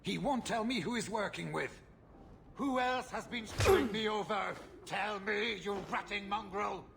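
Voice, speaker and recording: A man's voice: sits high, a little slow, vocal fry, background noise. An actor voicing a haughty, hot-tempered, ruthless merchant in a video game, pompous and contemptuous in his formal phrasing. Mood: contemptuous anger. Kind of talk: video game cutscene acting.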